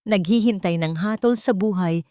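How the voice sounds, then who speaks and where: A woman's voice: mid-range voice, normal speed, mellow, dry recording, studio quality. A woman with a Filipino accent reading a dramatic scripted story as its narrator.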